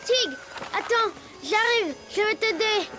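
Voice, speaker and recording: Cartoon casting: a very high, childlike animal hero, eager and resourceful, measured pace, music bed. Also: female voice, lively intonation, heavily acted. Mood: helpful. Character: young animal adventurer, resourceful eager leader of friends. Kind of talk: dubbed children's cartoon acting. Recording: music under the voice.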